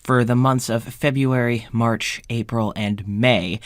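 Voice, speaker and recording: Podcast creator: male, mid-pitched, addressing listeners from a script in a very dry recording. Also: steady tone, slow, no echo.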